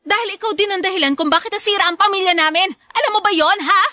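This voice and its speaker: A woman's voice: very high voice, quick pace, pushed and bright, dry recording, clean studio sound. A woman playing a hot-tempered, resentful older sister in a radio drama, scolding and confrontational. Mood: furious, threatening.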